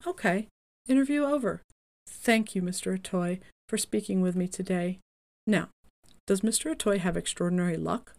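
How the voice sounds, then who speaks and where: Woman host, scripted musing narration, low and gravelly. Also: a little mellow. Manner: musing, asks herself questions. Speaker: podcast host.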